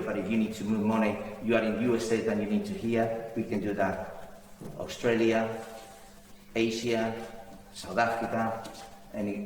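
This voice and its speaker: Halting man speaking in a distant echoey hall. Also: on the low side. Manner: repeats words, halting. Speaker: seminar presenter. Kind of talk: seminar presentation. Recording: echoey hall recording from far off.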